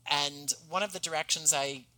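A man's voice: on the high side, fairly even intonation, moderate pace, bright, forceful tone, rough recording. A man chatting casually as a podcast guest, talking as an audio drama creator. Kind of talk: casual chat.

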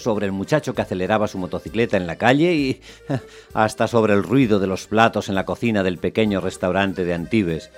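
A man plays a reflective storyteller in a radio play. He narrates in a low voice with dry, observant, literary phrasing. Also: lightly acted.

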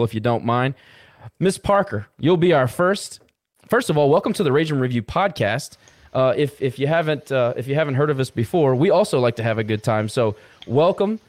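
A man's voice: mid-range voice, lively intonation, brisk, no room sound, studio quality. A man hosting a podcast in an informal, enthusiastic, conversational interviewing style. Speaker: podcast host.

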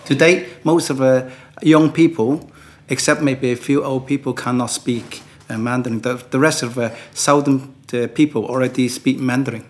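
A man whose first language is Cantonese, speaking with a Cantonese accent and answering an interviewer in halting English with many fillers. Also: mid-range voice, fairly even intonation. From China. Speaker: guest.

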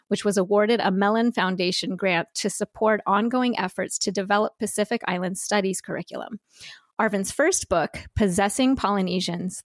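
A woman's voice: medium pitch, no room sound. Eager woman podcast host, dry sound. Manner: warm, enthusiastic, reads bio aloud. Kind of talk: interview hosting.

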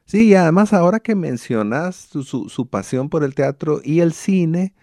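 Male discussion speaker, relaxed gravelly tone, dry sound, considered delivery. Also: fairly high pitch, normal speed, dry recording. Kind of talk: conversational commentary.

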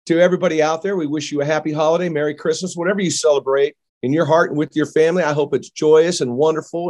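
A man's voice: a little high, darkish tone. A man hosting a casual interview podcast, enthusiastic and jokey, rambling as he welcomes his guest. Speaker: podcast host.

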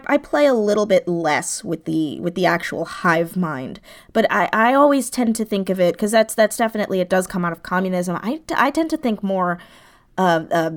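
A woman speaking as an interview guest in a casual, reflective way, thoughtfully circling back over her phrases as she goes. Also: mid-range voice. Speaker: interview guest, audio drama creator.

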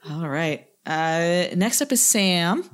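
A woman's voice: on the low side, expressive, takes it slowly, clear, resonant tone. A woman hosting a podcast in casual conversation, with a joking, dry wit. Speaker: podcast host. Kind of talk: casual chat.

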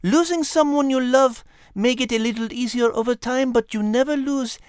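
A woman voicing a gentle, clever female cat with a French accent and a polite, encouraging manner. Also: high-pitched, moderate pace, clean tone, no room sound, clean studio sound, natural voice. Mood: gentle, consoling. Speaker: podcast co-host character. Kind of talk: scripted character banter.

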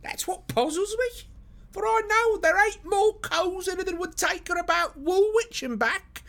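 A storybook working-class housewife, pitched extremely high. She is stout, fretful and talkative, respectful to her betters but full of homely grumbling. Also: unhurried, gravelly, sharp tone, exaggerated voice. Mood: worried, deferential. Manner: deferential, garrulous, colloquial working-class speech. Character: stoutish red-faced working-class London wife, fretful and chatty.

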